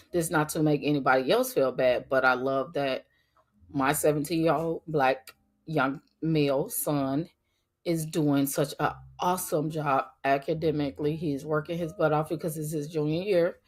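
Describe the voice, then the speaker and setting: Podcast host, middle-aged woman, low voice, raspy from a cold, earnest and emphatic, casual faith talk. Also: fairly even intonation, normal speed. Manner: earnest, emphatic, talks straight to listeners.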